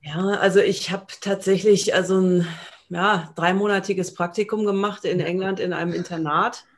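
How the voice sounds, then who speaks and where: A woman's voice: on the low side, steady tone, normal speed. A woman in her thirties talking conversationally as an interview guest, with an enthusiastic, playful manner. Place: small indoor room at home, video call.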